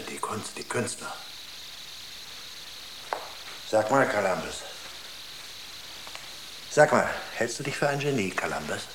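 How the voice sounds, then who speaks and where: An actor playing a bitter, suspicious engineer in a radio drama, speaking in sardonic, accusing short phrases. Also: male voice, lowish voice. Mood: mocking. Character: bitter, scornful, drug-worn male engineer, suspicious of comrades. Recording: roomy echo, clear background noise.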